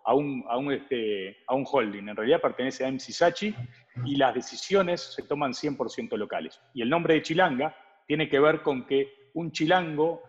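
Male interview guest, mid-range voice, conversational, muffled recording in a very reverberant space. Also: mid-range voice. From Uruguay. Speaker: advertising agency partner, interview guest. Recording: dull audio with long reverb.

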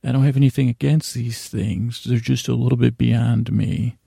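A man hosting a bedtime podcast with a rambling, comedic monologue, halting and circling back as he stumbles over words. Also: mid-range voice, steady tone, gravelly, mellow, husky, no room sound, clean studio sound. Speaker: podcast host.

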